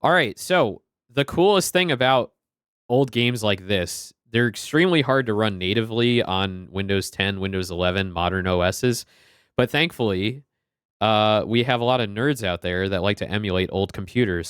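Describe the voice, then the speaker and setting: A man's voice: lowish voice, expressive, moderate pace, fairly bright tone, clean tone, dry recording, clean studio sound. A man streaming a retro game, chatting casually and greeting viewers by name.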